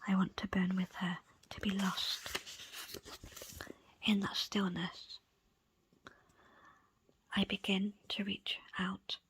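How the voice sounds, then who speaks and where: A woman reading a book aloud as ASMR in a soft, breathy, unvoiced whisper, with deliberate pauses between short phrases. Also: medium pitch, flat delivery, slight background noise, rough recording. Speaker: audiobook reader. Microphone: close, dry microphone.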